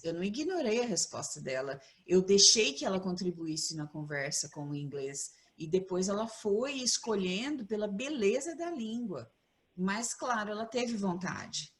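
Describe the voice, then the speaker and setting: A woman with a mid-range voice speaks earnestly on a video call as a mother and panelist. She talks in a reflective, considered way. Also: mid-range voice. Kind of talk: casual webinar talk.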